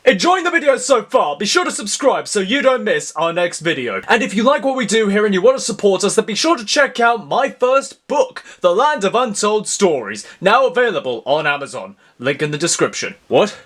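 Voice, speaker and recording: A man's voice: high voice, big pitch swings, a little fast, hardly any pauses, fairly bright tone. A man narrating an adventure story in a dramatic, scripted style.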